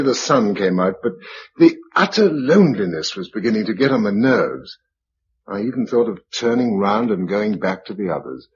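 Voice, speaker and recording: Actor as kindly English male survivor, radio play: mid-pitched, varied intonation, measured and understated, dull recording with background noise. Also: natural voice. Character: kindly, steady male survivor narrating his journey. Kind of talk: radio drama narration and dialogue.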